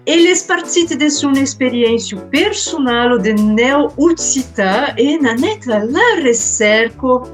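High-voiced, slow woman radio host. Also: animated, faint music behind. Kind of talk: radio interview.

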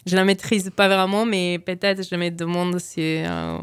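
A woman's voice: fairly low pitch, fairly even intonation, measured pace, smooth, no room sound. A woman from Italy with an Italian accent and Sicilian mother tongue, answering interview questions conversationally and searching for her words. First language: Sicilian dialect. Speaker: singer and writer, interview guest.

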